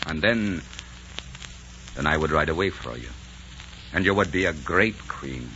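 A man's voice: extremely low pitch, animated, measured pace, pushed and bright, full character voice. An actor playing a bold, passionate nobleman, theatrical and emphatic in 1940s radio drama style. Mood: grave passion. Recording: muffled, hissy 1940s radio recording.

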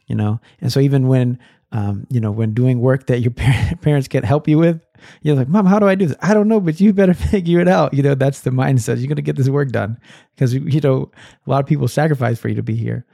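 A middle-aged man from Massachusetts, a guest who founded an education nonprofit, telling his story in relaxed conversation with many fillers and restarts. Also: medium pitch, brisk, vocal fry, no echo, studio quality. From Massachusetts, USA.

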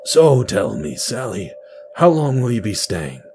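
A man voicing a lonely middle-aged widowed father with a gentle, pleading, resigned manner in an audio drama. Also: lowish voice, big pitch swings, measured pace, noisy background.

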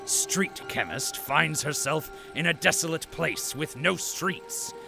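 A man's voice: fairly high pitch, lively intonation, takes it slowly, vocal fry, music in the background, full character voice. A man playing a stern, pompous corporate propaganda announcer, narrating an audio drama with theatrical menace. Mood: grave, ominous. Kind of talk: dramatic scripted radio narration.

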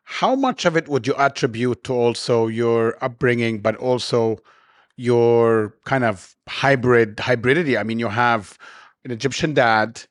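A man's voice: medium pitch, measured pace. Middle-aged male podcast host. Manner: affirming, finishes the guest's sentences. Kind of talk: conversational interview hosting.

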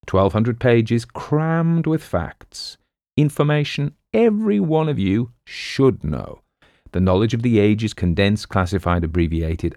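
Man putting on a brash, bombastic sales pitchman. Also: darkish tone, no room sound, studio quality. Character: brash fast-talking book pitchman and con artist.

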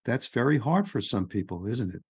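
A man's voice: medium pitch, very animated, moderate pace, husky, no room sound. A man hosting a podcast interview, talking in an easygoing, encouraging way. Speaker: podcast host. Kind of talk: casual interview chat.

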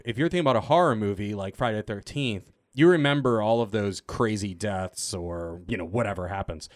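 A man's voice: on the low side, no room sound, studio quality. A man in a casual panel chat, telling anecdotes as a podcast cast member and acting out what people said. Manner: anecdotal, acts out quoted exchanges, teasing.

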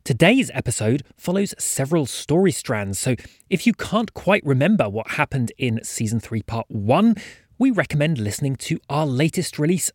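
Lively-pitched man introducing an episode. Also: no room sound, clean studio sound. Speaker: podcast host introducing the episode.

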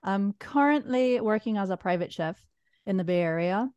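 A woman from Jamaica with a Jamaican accent, chatting as a guest chef on a podcast, relaxed and quick to laugh. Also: medium pitch, clear, resonant tone, no room sound, highs cut off. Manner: relaxed, laughs easily, restarts phrases. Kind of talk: casual podcast chat.

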